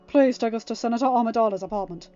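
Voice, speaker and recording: A woman's voice: fairly high pitch, fast talker, warm tone, natural voice. A grave male warrior-monk from a space-fantasy audiobook acted by a woman narrator. He speaks steadily and with measure. The recording is slightly muffled over light music. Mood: urgent.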